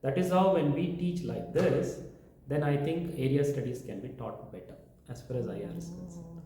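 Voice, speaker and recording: Male professor, lecture-style, mellow tone, very reverberant room. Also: fairly high pitch, normal speed, slight background noise. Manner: lecturing, listing points one by one. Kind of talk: explaining teaching methods in an interview. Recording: very echoey room.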